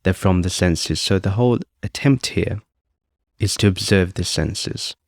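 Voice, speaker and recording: Slow, low-voiced man guiding meditation. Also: vocal fry, no room sound, studio quality. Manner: calm, unhurried, with long pauses between short phrases. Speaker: podcast host leading a guided meditation. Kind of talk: guided meditation, unscripted instruction.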